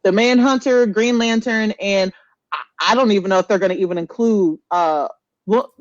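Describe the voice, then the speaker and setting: A man's voice: high voice, normal speed, no echo. An American man with an opinionated, teasing manner, bantering casually as a podcast co-host. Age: 30s to 40s. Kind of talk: casual banter about comic book films. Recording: dull, lo-fi close sound.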